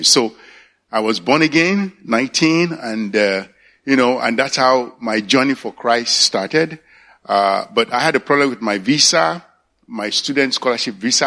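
Halting older Nigerian man. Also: on the low side, pushed and bright. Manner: halting, searching for words. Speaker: church elder candidate. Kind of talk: personal faith testimony. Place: church service.

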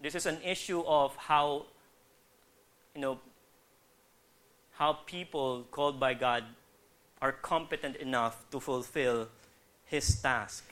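A man's voice: mid-range voice, fairly even intonation, slow, pauses often, fairly bright tone. A man with a Filipino accent giving a conversational sermon, acting out dialogue and putting questions to listeners. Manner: conversational, acts out quoted dialogue. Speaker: preacher. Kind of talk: sermon, teaching with Bible readings and questions to the congregation.

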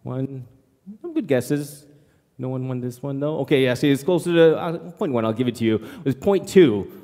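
A man missionary giving a church presentation, lively and joking with the audience. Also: medium pitch, normal speed, big-room sound. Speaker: missionary speaker.

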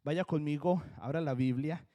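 A Colombian-accented man delivers a sermon with earnest emphasis. His voice sits a little high for a man. He slows right down to lean on the key words. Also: mellow. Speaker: pastor.

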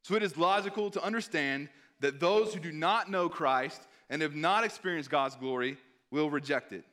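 A hoarse man preaching a sermon in an earnest tone, pausing to read scripture aloud. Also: on the high side, a bit bright. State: hoarse, clearing throat.

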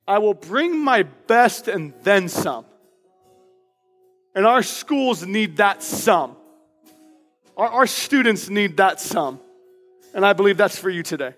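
Loud, passionate high-voiced pastor in hall. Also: male voice, lively intonation, slow pace, long pauses, soft background music. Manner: reads personal declarations aloud with emphasis. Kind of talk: sermon. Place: church sanctuary.

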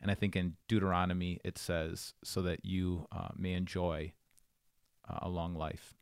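Man, low voice, dry close sound, casual podcast co-host, conversational explaining. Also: flat delivery, normal speed, vocal fry, smooth, dry recording.

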